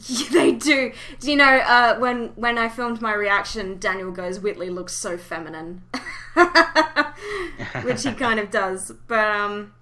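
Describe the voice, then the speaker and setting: A woman's voice: fairly high pitch, slow pace, sharp tone. A woman hosting a casual fan podcast, chatting in an informal, giggly way. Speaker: podcast host.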